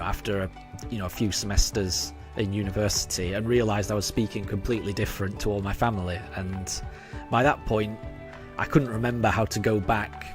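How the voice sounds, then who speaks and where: A fairly low-voiced man in his forties with a faded Yorkshire accent, streaming a game and chatting casually with his audience. He talks hesitantly, with lots of ums and restarts, and clear game music plays behind him. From Yorkshire, England. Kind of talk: casual live-stream chat.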